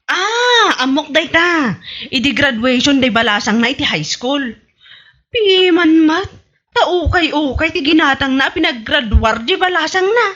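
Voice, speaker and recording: A woman's voice: sits high, expressive, unhurried, fairly bright tone, muffled recording. A woman with an Ilocano Filipino accent playing a vain, bossy president of a village women's club in a radio comedy drama, haughty and imperious. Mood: vain, boastful.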